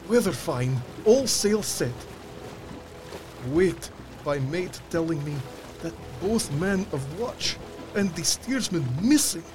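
An actor playing a weary, fearful sea captain in an audio drama, reading his log in grave, halting, clipped phrases. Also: a little high, background noise. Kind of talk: dramatic first-person log narration.

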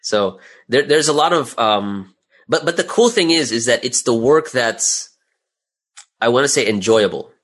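A man's voice: medium pitch, expressive, measured pace, fairly bright tone. A middle-aged man talking casually as an interview guest, in a chatty way peppered with "you know" and "right?". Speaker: guest, audio drama creator.

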